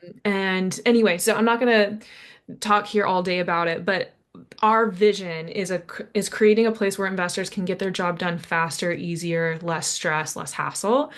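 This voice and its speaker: A young woman on a video call, pitching her app in a casual chat, eager and full of fillers like "like". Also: fairly even intonation, normal speed. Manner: eager, filler-heavy, lots of "like".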